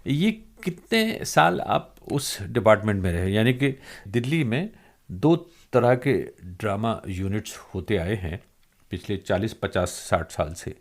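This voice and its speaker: A man's voice: on the low side, lively intonation, measured pace. An older man interviews conversationally, putting in admiring remarks as he goes.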